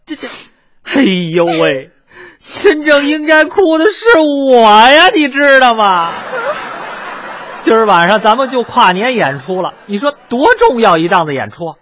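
Brief: fussing, fawning comic boss, Beijing accent, animated husky voice. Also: male voice, sits high, normal speed, exaggerated voice. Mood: pleading. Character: harried male crosstalk comic and teahouse boss. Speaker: comedian. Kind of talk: radio crosstalk drama.

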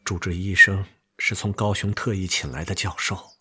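An actor playing a gravely ill, resigned army man in a radio drama, speaking haltingly and weakly. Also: low-pitched, fairly even intonation, sharp tone, heavily acted. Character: gravely ill older army friend, weary, resigned, earnest. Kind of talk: broadcast radio drama acting.

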